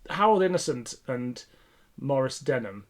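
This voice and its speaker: A man's voice: mid-range voice, animated, normal speed. A man co-hosting a podcast, chatting casually and informally with opinions to share. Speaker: podcast co-host. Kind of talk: casual chat reviewing a radio play.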